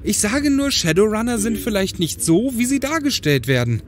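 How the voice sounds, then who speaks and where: A man's voice: high-pitched, very animated, moderate pace, faint music behind. A man playing an earnest, disillusioned critic of corporate society in a fan audio drama.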